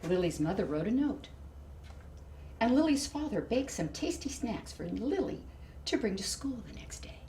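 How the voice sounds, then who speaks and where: A woman reading a children's picture book aloud expressively, with dramatic emphasis and hushed asides to her listeners. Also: on the high side, very animated, moderate pace. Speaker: storybook reader. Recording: distant mic, faint background noise.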